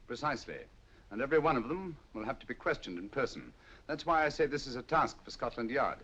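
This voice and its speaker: A man playing a shrewd, calm detective in an old radio drama, speaking in a measured, courteous, dryly confident way. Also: mid-range voice, breathy edge. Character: shrewd, calm male detective, middle-aged. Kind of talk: 1940s radio acting. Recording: dull old radio audio.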